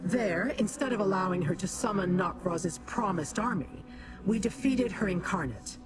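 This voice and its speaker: Woman as an imperious, expressive demon lady. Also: medium pitch, close to an everyday voice. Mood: calculating, musing. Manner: imperious, formal, measured wording. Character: haughty, calculating demon lady, condescending to mortals. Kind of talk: fantasy game character acting. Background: game music.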